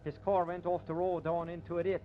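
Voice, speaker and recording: An actor playing a blustering, folksy country sheriff in a 1950s radio drama. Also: male voice, fairly high pitch, level tone, brisk, lightly acted. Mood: gruff, defensive. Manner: blustering, colloquial. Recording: dull, hissy vintage radio recording.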